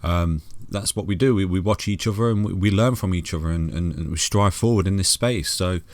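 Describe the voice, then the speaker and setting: A low-pitched man with a soothing voice talks through trading charts, friendly and loose, with many restarts. His voice is close and dry, with no room sound behind it. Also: fairly quick, no echo. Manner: chatty, calls viewers "guys" and "mate", many restarts. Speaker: crypto chart analyst. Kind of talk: casual chart walkthrough.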